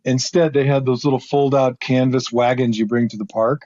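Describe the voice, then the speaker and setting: A man's voice: moderate pace, no room sound. A middle-aged man, a former football lineman, co-hosting a casual podcast and telling a rambling story, often laughing. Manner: rambling storyteller, laughs often, jumps pitch when excited. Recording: slightly dull lo-fi sound.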